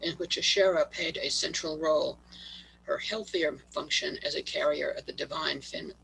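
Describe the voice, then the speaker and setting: A man giving a prepared talk over a video call, reading haltingly and stumbling on words, his voice worn from allergies. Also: on the high side, fairly even intonation, gravelly, a bit bright, a little hiss or hum, poor audio. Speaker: guest presenter.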